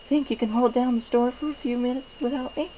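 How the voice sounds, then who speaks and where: A sweet motherly pony baker, voiced by a man, lifted high above a normal male register. She is affectionate and homely, a storybook wife with faint noise on the recording. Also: fairly even intonation, brisk, warm tone, low-quality recording.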